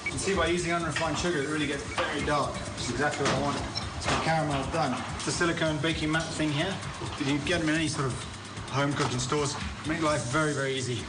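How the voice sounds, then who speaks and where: Male TV chef, eager running commentary, slightly high voice, echoey room. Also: steady tone, fast talker, distant mic, very noisy. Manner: enthusiastic, chatty, explains as he cooks. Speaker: chef and show host. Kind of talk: casual cooking demonstration. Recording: roomy, off-mic, music behind.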